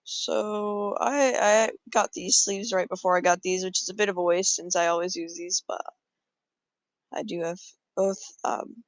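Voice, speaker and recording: A man's voice: sits high, fairly even intonation, a little slow, dry recording. A man casually talking through his moves in a card game, thinking aloud with frequent fillers. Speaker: gameplay commentator.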